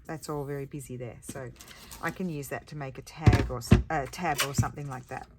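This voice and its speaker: Woman with a low, croaky voice. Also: steady tone, very noisy. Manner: thinking aloud, chatty, unhurried. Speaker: paper crafter. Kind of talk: casual crafting chat while working.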